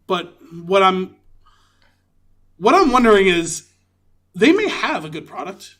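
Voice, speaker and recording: A man's voice: high voice, big pitch swings, unhurried, a bit bright. A man co-hosting a casual movie podcast, talking in an emphatic, opinionated, sweary way. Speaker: podcast co-host.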